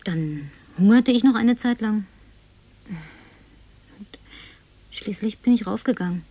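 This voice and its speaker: An actress playing a tipsy, flirtatious, lonely woman survivor in a radio drama, coquettish and slipping into breathy whispers. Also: medium pitch, animated, takes it slowly, dark tone. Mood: tipsy reminiscing. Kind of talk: German radio drama acting.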